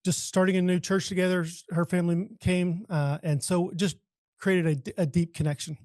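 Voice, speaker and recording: An American man in his forties talks as a podcast guest in a high-pitched voice. The recording is dry and close, and his casual conversational delivery is polite and appreciative. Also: level tone, moderate pace, darkish tone, no room sound. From Texas, United States. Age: 40s. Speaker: podcast guest, leadership coach. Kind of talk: casual interview chat.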